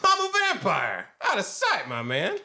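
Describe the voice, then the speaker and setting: Man playing a brash, bright-voiced jive-talking vampire. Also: high voice, huge pitch swings, moderate pace, gravelly, exaggerated voice. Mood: elated. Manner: seventies slang, swaggering, theatrical. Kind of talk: campy horror-comedy film acting.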